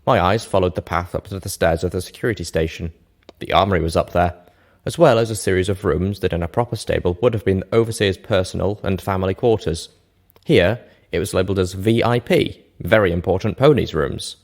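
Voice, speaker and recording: A man narrating a first-person fantasy story from a script, measured and expressive. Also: low voice. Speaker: narrator of a fan fiction reading show.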